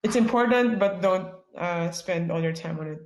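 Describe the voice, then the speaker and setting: A woman's voice: on the low side, moderate pace. A woman from the Philippines, giving a casual webinar talk as a guest speaker, chatty and full of "like" and "yeah" fillers. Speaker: guest speaker, product manager. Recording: echoey room.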